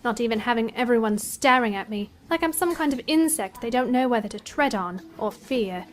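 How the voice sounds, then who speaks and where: A woman doing a highish voiced teen college girl, wry and grumbly, talking to herself, audio drama. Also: animated, fairly quick, slight background noise, natural voice. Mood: self-mocking. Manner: wry, grumbling inner monologue. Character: sardonic self-critical seventeen-year-old college girl.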